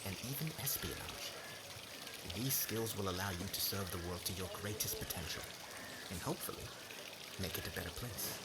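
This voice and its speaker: Man playing a formal magic academy professor, noisy backdrop. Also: deep voice. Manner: formal, instructive. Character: kindly male academy professor teaching young magic students. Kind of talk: ASMR roleplay acting.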